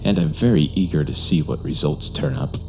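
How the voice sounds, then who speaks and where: An actor playing a suave, insistent homicide detective in an audio drama, formal and smoothly polite. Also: male voice, sits low, big pitch swings, fairly quick, full character voice. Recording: music under the voice.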